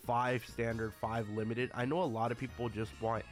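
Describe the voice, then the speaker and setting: A man's voice: medium pitch, little pitch movement, moderate pace, soft background music. A man who is a little under the weather, talking casually to viewers about a game update. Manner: addresses viewers often as guys. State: a little sick. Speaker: gaming YouTuber. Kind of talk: casual video commentary.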